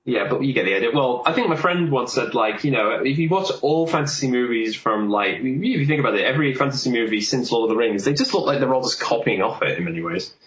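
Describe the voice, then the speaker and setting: A man's voice: medium pitch, animated, fast, hardly any pauses, a bit bright. Man chatting in an echoey room. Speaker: podcast co-host. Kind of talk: casual film discussion. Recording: roomy, echoey sound with faint noise.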